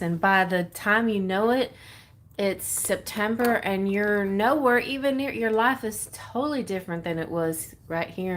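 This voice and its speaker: Slow-paced woman with a put-on Texas accent. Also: medium pitch, a little hiss or hum. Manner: playful, rambling, thinking aloud. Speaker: tarot reader hosting a livestream. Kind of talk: casual live tarot reading, chatting with viewers.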